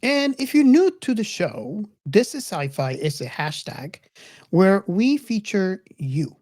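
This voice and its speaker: A woman's voice: mid-range voice, big pitch swings, slow pace, a little mellow, no echo, clean studio sound. A woman hosting a podcast in a casual, enthusiastic and earnest way, often repeating and restarting her words. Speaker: podcast host.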